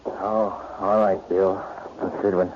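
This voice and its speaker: A man's voice: low voice, flat delivery, slow pace. An actor playing a glum, resigned male convict in a 1940s radio drama. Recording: muffled old radio recording, loud hiss.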